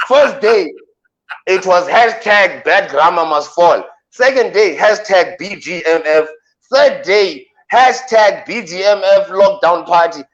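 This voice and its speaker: A South African man with a deep voice, a radio co-host doing playful comic banter with drawn-out punchlines. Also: lively intonation, a bit bright, muffled recording.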